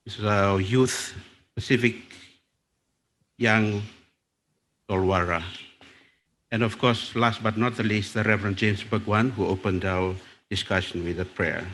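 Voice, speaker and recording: Man from Fiji, low-voiced panel facilitator, measured and formal, faint hum of the hall behind him. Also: fairly even intonation, fairly bright tone. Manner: measured, deliberate, with small restarts. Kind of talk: formal event moderation. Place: conference hall. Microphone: lectern microphone.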